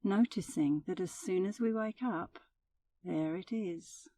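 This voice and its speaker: A woman leading a guided meditation as an instructor, with a soothing, gentle delivery. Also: on the low side, unhurried, recorded from a distance.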